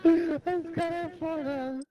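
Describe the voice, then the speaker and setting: A man's voice: extremely high pitch, slow pace. A man joining a game party on voice chat, teasing his friends in a loose, playful way. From Campinas, Brazil. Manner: lively, teasing, laughs at the video. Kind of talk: casual gaming voice chat. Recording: loud background noise on voice chat.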